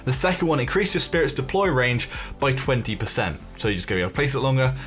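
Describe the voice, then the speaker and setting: Man, gaming video host, average pitch, casual commentary, explanatory, tentative, speculative, music in the background. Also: big pitch swings, fast, fairly bright tone. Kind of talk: casual game commentary, talking through details. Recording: music behind the voice.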